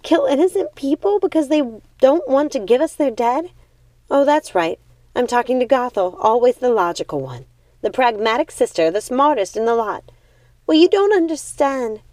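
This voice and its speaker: A woman's voice: high-pitched, a little mellow, no room sound, pitched above the performer's own voice. A woman voicing an outraged, rebellious young witch sister in a storybook, exclaiming emphatically.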